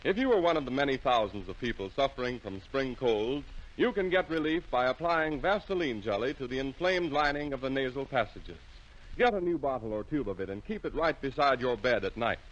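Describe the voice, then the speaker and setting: A man with an American accent reading scripted sponsor announcements in a formal, measured, carefully enunciated 1940s radio style, on a muffled vintage broadcast. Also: medium pitch, lively intonation.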